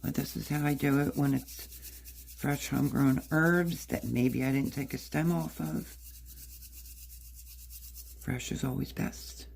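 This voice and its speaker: A woman with a hoarse voice casually explaining a recipe step by step in her home kitchen. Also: rumbling low, steady tone, measured pace, pauses often, a little mellow, a little hiss or hum. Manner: chatty, explains step by step while working. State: hoarse, partly lost voice. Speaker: home cook. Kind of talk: casual cooking demonstration.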